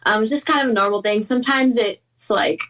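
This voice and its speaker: A woman's voice: fairly high pitch, a little fast, a bit bright, distant mic. A young woman from Southern California chatting casually in an interview, upbeat with fillers. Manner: chatty, upbeat, many fillers and small laughs. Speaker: interview guest, singer.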